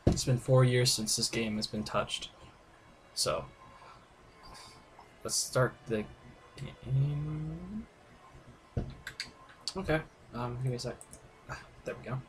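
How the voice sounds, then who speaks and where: A man's voice: medium pitch, slow pace, long pauses, noisy background. A man giving casual, rambling gaming commentary, hesitant and thinking aloud as he hosts a playthrough. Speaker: host of a game playthrough video. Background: game music.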